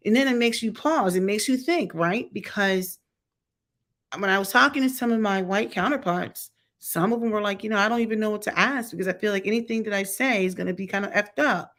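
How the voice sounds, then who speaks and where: A woman's voice: medium pitch, a little fast, fairly bright tone. A middle-aged woman giving candid, casual opinion commentary as a vlogger, talking in a chatty, blunt way with mocking asides. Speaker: vlogger reviewing a TV show.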